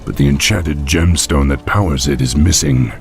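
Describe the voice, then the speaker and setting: A young orc warlord with a very deep, gravelly voice. He is resolute and honorable, weighing each phrase deliberately as in a fantasy game cinematic, with some music underneath. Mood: thoughtful, resolute. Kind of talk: video game cinematic acting.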